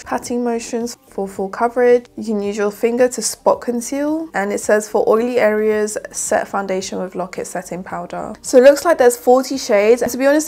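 Chatty young woman with a croaky morning voice. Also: fairly high pitch, darkish tone. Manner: chatty, rambling, addresses viewers as guys. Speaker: beauty vlogger. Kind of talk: casual makeup review talking to camera. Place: plain indoor room with plants.

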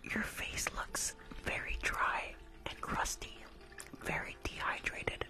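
A woman's voice: slow, sharp tone. A young woman playing a rude, judgmental spa receptionist in an ASMR roleplay, snarky and condescending, close to the microphone. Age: young adult. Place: small room at home, indoors. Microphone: close furry-windscreened condenser microphone.

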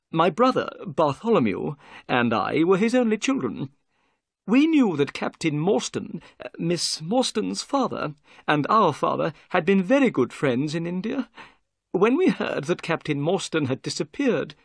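High, excitable, nervous little man. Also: unhurried, vocal fry, dry recording, studio quality, full character voice. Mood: earnest recounting. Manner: exclamatory, high-strung, dramatic storytelling. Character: nervous, excitable little bald middle-aged man, fretful and eager.

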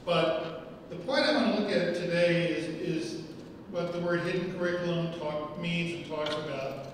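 A middle-aged man speaks at a podium microphone, wry and self-deprecating in a loose lecture style. His fairly high voice rings through a large hall, heard from some distance with steady background noise. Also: moderate pace. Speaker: guest lecturer. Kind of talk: public lecture. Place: large hall with arched windows. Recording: echoey hall, far from the mic.

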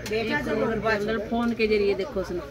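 A woman's voice: medium pitch, steady tone, a little slow, a loud crowd. A middle-aged woman, telling a story casually inside a train carriage. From Haryana, India. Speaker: train passenger. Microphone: camera a short way off. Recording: loud crowded train background.

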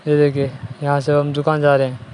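A man's voice: a little high, monotone, fairly quick, a little mellow, slight background noise. A young man guiding viewers through a daily vlog, showing his way to the shop where he works and the shop itself. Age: young adult. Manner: plain tour-guide pointing out sights. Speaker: vlogger. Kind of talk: casual walk-through vlog. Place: walking from home to a sari shop, indoors and out. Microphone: handheld phone camera.

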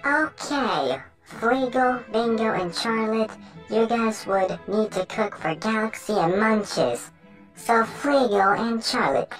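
A woman's voice: medium pitch, rough recording. A woman voicing a bossy but easygoing organizer in a cartoon. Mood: instructing.

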